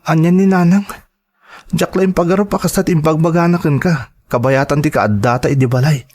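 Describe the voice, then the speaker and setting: Earnest young boyfriend for radio drama, male actor, Ilocano Filipino accent, respectful, slightly high pitch. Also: fairly quick, a little mellow, lightly acted. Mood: earnest, questioning.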